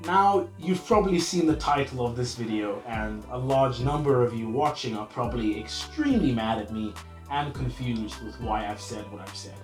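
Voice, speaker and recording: A young man, slightly ill, talking earnestly to camera about books and their film adaptations. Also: mid-range voice. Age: young adult. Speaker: vlogger. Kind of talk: casual to-camera book talk. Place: room at home with bookshelf. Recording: roomy echo, mic at a distance, faint music.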